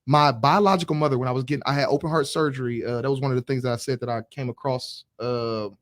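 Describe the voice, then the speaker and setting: A man hosting a casual group chat, animated and laughing often. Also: medium pitch, fast, no room sound, studio quality. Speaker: podcast host.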